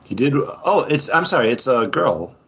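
A man's voice: mid-range voice, big pitch swings, normal speed, fairly bright tone, slightly rough. A man co-hosting a podcast, giving his take on comic books in casual chat. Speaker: podcast co-host. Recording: dull lo-fi podcast audio.

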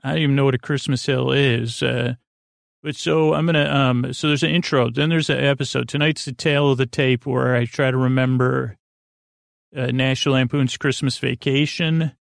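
A man's voice: mid-range voice, fairly even intonation, normal speed, vocal fry, no echo, studio quality. A man hosting a bedtime podcast, rambling and meandering, stammering and losing his train of thought as he talks. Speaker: podcast host.

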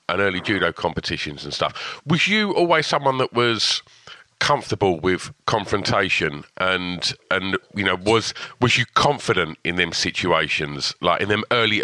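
A podcast host with a very low voice asks a guest loose, rambling questions. His delivery swings widely in pitch and sounds bright and forceful. The recording is dry. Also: no echo. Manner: rambling, repeats words. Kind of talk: casual interview questions.

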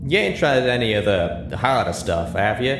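A man's voice: medium pitch, very animated, moderate pace, voice pushed up. Man doing a drawling weary country pony mare. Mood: blunt. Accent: Southern US rural, as put on. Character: weary older female earth pony farmer. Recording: roomy sound with music underneath.

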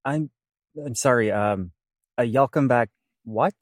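A man's voice: medium pitch, unhurried, dry recording, clean studio sound, natural voice. A man playing a pompous, politely blunt small-town dramaturg in a comedy audio drama. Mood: politely condescending. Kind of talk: comedic audio drama dialogue and verse narration.

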